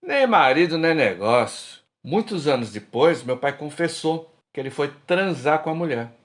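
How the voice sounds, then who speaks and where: A man plays a middle-aged Brazilian drinker out with old friends. His voice is somewhat high for a man and moves up and down a lot. He is frank and colloquial, in radio drama style. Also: expressive, moderate pace, close to an everyday voice. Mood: regretful. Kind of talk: radio drama acting, casual bar chat.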